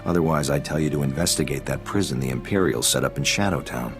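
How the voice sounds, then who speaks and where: An actor playing a wry, world-weary intelligence contact in a video game, offhand and evasive. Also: male voice, rumbling low, quick pace, music in the background. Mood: frank, resigned. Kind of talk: video game dialogue acting.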